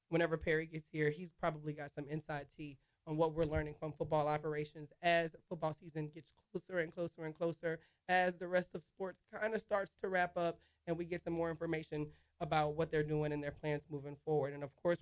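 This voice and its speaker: Chatty, slightly hoarse woman host. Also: on the low side. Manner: chatty, addresses listeners as y'all. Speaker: sports talk show co-host. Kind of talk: casual solo show talk.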